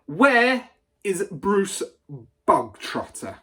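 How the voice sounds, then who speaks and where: A storybook reader voicing a huge, cruel, tyrannical headmistress who barks and booms insults. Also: lively intonation, slow pace, darkish tone, breathy edge. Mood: furious, bellowing. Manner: barking, booming insults. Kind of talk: storybook read aloud.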